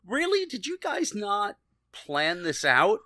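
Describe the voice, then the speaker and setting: A man co-hosting a podcast, chatting casually and critiquing in a halting, conversational way. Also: sits high, wildly swooping pitch, bright, forceful tone, no room sound, studio quality. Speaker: podcast co-host. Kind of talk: casual chat, TV episode discussion.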